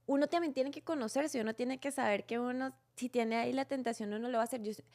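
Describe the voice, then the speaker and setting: Young woman, guest, faith chat, reflective, halting, high-pitched. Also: a little mellow. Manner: reflective, halting with many restarts. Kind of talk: casual faith conversation.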